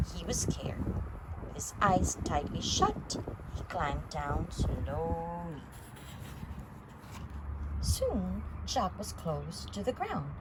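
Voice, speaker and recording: A woman reading a children's story aloud, narrating in an expressive, deliberate way. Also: fairly low pitch, heavy background noise. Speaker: storytime reader.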